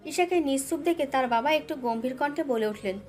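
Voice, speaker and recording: A woman's voice: high voice, brisk, darkish tone, faint music behind. A woman narrating a scripted dramatic love story as its storyteller.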